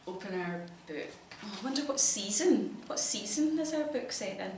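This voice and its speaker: A woman's voice: mid-range voice, animated, normal speed, darkish tone. A woman with a Scottish accent reading a storybook to a child, encouraging and full of questions. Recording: echoey room, distant mic, noisy background.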